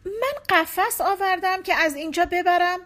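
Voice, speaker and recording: A woman reading a gentle consoling husband. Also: very high-pitched, pitched above the performer's own voice. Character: calm husband breaking bad news gently.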